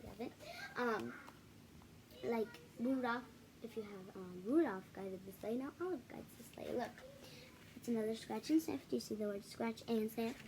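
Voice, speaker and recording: A woman hosting a children's read-aloud video, reading a picture book with casual, halting, self-correcting asides to viewers. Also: a little high, darkish tone, slight background noise, rough recording. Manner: halting, self-correcting, apologetic while showing pages.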